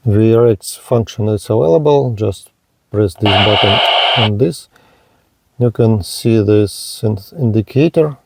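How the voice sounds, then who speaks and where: Slow, careful man demoing a radio. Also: medium pitch, mellow, no echo. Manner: halting, careful, with pauses and fillers. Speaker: radio reviewer. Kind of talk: slow hands-on product demo narration.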